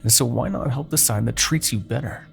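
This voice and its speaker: A man playing a haughty, seductive demon king in a fantasy audio roleplay, persuading with silky condescension, dramatic pauses and a gravelly voice. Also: faint music behind. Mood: bitter, persuasive. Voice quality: gravelly, creaky low voice. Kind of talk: fantasy ASMR roleplay monologue.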